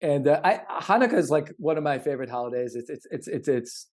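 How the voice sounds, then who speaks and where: A man co-hosting a casual podcast, speaking earnestly and haltingly, often repeating words as he thinks. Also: a little high, lively intonation, a little mellow. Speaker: podcast co-host.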